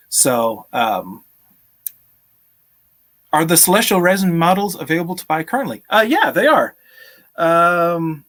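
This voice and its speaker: Higher-pitched man chatting casually on stream. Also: fairly bright tone. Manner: friendly, appreciative, chuckles often. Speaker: live stream host. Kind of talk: casual chat, answering viewer questions. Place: small room at home, indoors.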